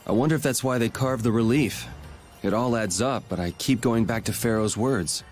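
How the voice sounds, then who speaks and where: A man's voice: mid-range voice, normal speed, faint music behind, natural voice. An actor voicing a cool, sardonic young swordsman leading a party in a video game, casual and dry. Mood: calm. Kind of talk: English video game voice acting.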